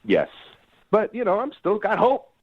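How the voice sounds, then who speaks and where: Guy phoning a radio show, animated casual chat, jokes at his own expense, dull phone line, no room sound. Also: high-pitched, normal speed, dry recording. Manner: slangy, self-deprecating, jokey. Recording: dull phone-call audio.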